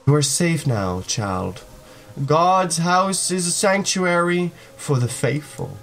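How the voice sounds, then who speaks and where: A man voicing an aging, fatherly priest in a visual novel, speaking solemnly and gently. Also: fairly high pitch, lively intonation, slow pace, vocal fry, heavily acted. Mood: concerned, solemn.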